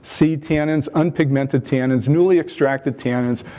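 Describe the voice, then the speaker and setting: Mellow-voiced American man giving a lecture. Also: mid-range voice, a little slow. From United States. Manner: relaxed, self-deprecating, frequent fillers and restarts. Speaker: wine chemistry researcher giving a conference talk. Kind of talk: conference lecture.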